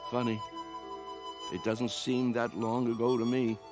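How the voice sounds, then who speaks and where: A man's voice: medium pitch, level tone, a little slow, a little mellow, lightly acted. An actor playing an earnest, persuasive old man with a dreamer's young heart in a radio drama. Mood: coaxing. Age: older. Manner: earnest, persuasive, challenging with questions. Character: old man, impassioned youthful-hearted dreamer coaxing others to play. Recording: radio drama with music underneath.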